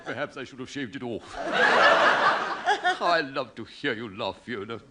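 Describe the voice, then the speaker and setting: An actor playing an ardent, theatrical soldier lover in a parody of 1940s film romance, on an old radio comedy recording. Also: male voice, medium pitch, wildly swooping pitch, a little slow, heavy background noise. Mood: stoic, romantic. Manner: clipped, theatrical, overwrought delivery.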